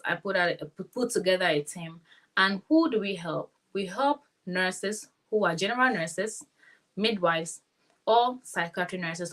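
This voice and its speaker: Confident Ghanaian woman, low voice. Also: a bit bright. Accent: West African, Ghanaian. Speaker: nurse and guest. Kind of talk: interview, explaining.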